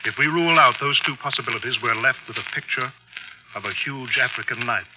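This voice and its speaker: An actor playing a suave professor and explorer, a smoothly sinister husband, in a theatrical, courtly, unctuous old-time radio manner. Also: male voice, mid-range voice, brisk, noisy background, natural voice. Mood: grave, dramatic. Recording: old radio drama, band-limited.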